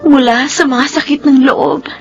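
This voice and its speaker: An earnest, emotional young woman in a radio drama. Her voice is a little high and often close to tears. Soft music plays faintly underneath. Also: fairly even intonation, a little slow, muffled recording. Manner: emotional, tearful. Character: young woman, resentful daughter, tearful. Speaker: midwife character.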